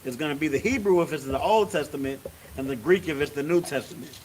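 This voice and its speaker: A man's voice: on the high side, fast, poor audio. A man leads a service in a loose, chatty way, peppering every line with "you know what I'm saying". A faint hiss runs under the recording. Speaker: religious teacher leading a service. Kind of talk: casual sermon.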